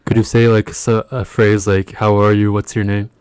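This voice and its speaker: Low-voiced young male co-host. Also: flat delivery, normal speed, darkish tone, clear, resonant tone, no echo. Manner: loose, casual questioning. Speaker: podcast co-host. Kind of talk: casual podcast interview.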